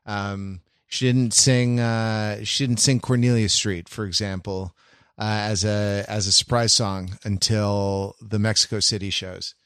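A man hosting a podcast in a casual, wry way, haltingly circling back on his phrases. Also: lowish voice, steady tone, a bit bright, clean tone, dry recording, clean studio sound. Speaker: podcast host.

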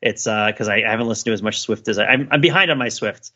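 A man co-hosting a podcast, giving casual, discursive pop-culture commentary in an animated, digressive and emphatic way. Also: on the low side, fast talker, lo-fi sound. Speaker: podcast co-host.

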